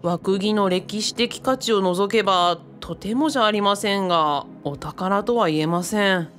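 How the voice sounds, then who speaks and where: A woman playing a polite, earnest and easily flustered young man in an audio drama, in an eager, expressive way. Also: medium pitch, unhurried, slight background noise, lightly acted. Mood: polite, explaining. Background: background music.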